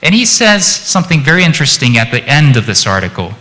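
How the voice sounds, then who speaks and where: A Japanese man with an American-influenced accent giving a chatty, lively conference presentation and joking with the audience. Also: mid-range voice, quick pace, in a large hall. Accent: American-influenced English. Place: conference hall.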